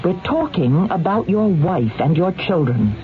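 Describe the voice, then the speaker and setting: An actress playing a cold, calculating wealthy employer in a 1970s radio mystery, commanding and coolly persuasive. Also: female voice, lowish voice, very animated, unhurried, crowd noise. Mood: hard bargaining. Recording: narrow-band vintage radio, music behind.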